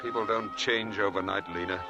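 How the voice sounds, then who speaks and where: An actor playing a volatile, bitter husband in a 1940s radio drama, intense and clipped. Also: male voice, fairly low pitch, fairly even intonation, brisk, lo-fi sound, close to an everyday voice. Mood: bitter, resigned. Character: volatile, bitter, self-reproaching husband in debt. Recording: old radio broadcast with faint music.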